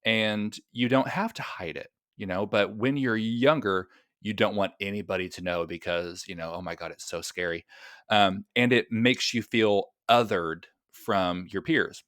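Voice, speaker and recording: Man, low smooth voice, light stutter, reflective guest, dry close sound. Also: measured pace, fairly bright tone, no echo. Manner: reflective, light stutter, frequent agreeing backchannels. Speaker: podcast guest, comedian. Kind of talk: casual podcast interview.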